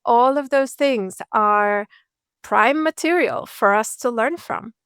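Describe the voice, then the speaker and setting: Female speaking coach, interview guest; smooth voice with some fry, dry close sound, measured and reassuring. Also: fairly high pitch, fairly bright tone, no echo. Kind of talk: podcast interview answers.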